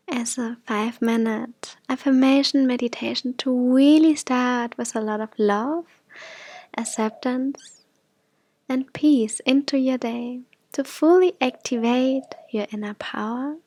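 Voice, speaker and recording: A woman guiding an affirmation meditation in soft, gentle, breathy phrases that often drop toward a whisper. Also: on the high side, dry recording. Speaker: meditation guide.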